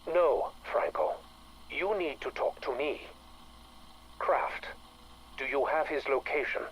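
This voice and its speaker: A man's voice: mid-range voice, takes it slowly, slight background noise, close to an everyday voice, poor audio. Cold, clipped actor as an imperious male director. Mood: cold, impatient. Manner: clipped, formal, commanding. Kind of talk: dramatic dialogue in a game audio log.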